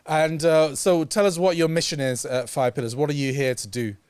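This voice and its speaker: A man co-hosting a casual studio chat as editor, asking relaxed, friendly questions. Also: a little high, brisk, clean tone, dry recording. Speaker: editor and co-host.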